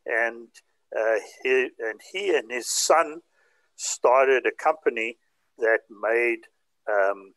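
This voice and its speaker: A man's voice: mid-range voice, slow pace, a bit bright, dry recording, poor audio. Older man chatting, dry close sound. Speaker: participant in a hobby group chat. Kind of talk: casual chat, recounting. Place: indoors, on a group video call. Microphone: video call.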